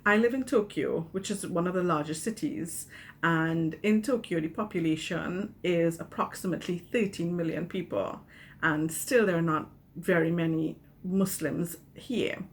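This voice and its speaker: A woman in her fifties explains calmly and steadily in a video message from home. Her voice sits somewhat low, with a faint hum of noise behind it. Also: normal speed. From Trinidad and Tobago. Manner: calm, explanatory, with fillers. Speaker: teacher. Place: small room at home, indoors. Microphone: webcam, video call.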